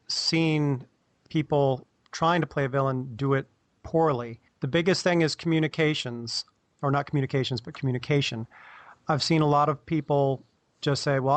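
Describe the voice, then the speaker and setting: A man with a slightly high-pitched voice talks casually as a podcast panelist. He slips into imagined dialogue to make his points, and the sound is dry, with very little room on it. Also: no room sound.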